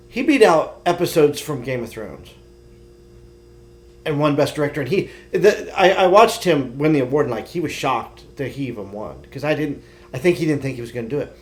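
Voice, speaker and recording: A man's voice: fairly high pitch, expressive, moderate pace. A man chatting casually and informally as a podcast co-host. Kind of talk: casual chat.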